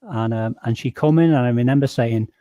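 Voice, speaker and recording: Chatty english guy hosting a voice chat, warm dark tone, dry close sound. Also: medium pitch, a little fast, no room sound, muffled recording. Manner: chatty storyteller, laughs often. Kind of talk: casual group chat.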